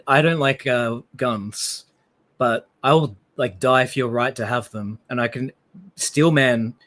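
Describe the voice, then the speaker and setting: A man's voice: medium pitch, a bit bright. A man with an Australian accent hosting a live stream, chatting casually with many fillers and restarts. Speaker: livestream host.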